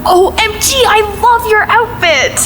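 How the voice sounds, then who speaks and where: A young female performer playing a sassy, excitable fifteen-year-old girl in an audio play, slangy and gushing. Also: very high-pitched, a little slow, slight background noise, heavily acted. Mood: excited. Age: teenager. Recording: music behind.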